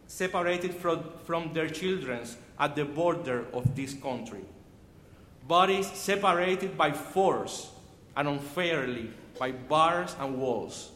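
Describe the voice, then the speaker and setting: Slow, earnest Cuban-accented man preaching. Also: on the high side, fairly bright tone, a little hiss or hum. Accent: Cuban Spanish. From Cuba. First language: Spanish. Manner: measured, earnest delivery with frequent restarts. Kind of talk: sermon. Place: church sanctuary. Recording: echoey hall from far off.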